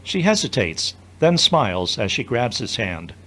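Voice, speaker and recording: A man's voice: mid-range voice, sing-song, a little slow, fairly bright tone. A man narrating an audio drama from a script, describing each scene as storyteller.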